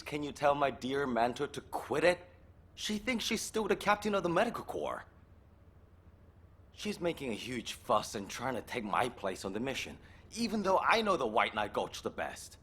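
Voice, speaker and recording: A man's voice: mid-range voice, sing-song, measured pace, a bit bright, slightly rough, heavily acted. An actor playing a stubborn, emotional young male soldier in a video game, earnest and easily exasperated. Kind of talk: dramatic video game voice acting.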